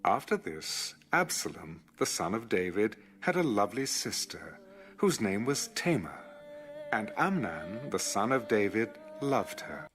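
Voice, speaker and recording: A man's voice: fairly low pitch, sing-song, unhurried, a bit bright. A man giving a dramatic scripted narration of scripture for an audio Bible.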